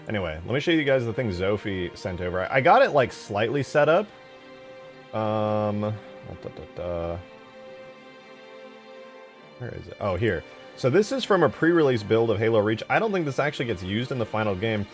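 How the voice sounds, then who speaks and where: A man chatting casually on a livestream as he shows game mod work, informal and slangy, easily excited. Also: lowish voice. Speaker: live streamer and game modder.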